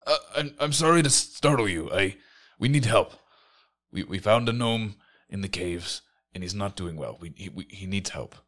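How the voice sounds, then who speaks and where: A man playing a tabletop role-playing game, improvising casually in a halting, plain-spoken way. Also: lowish voice, expressive, fairly bright tone.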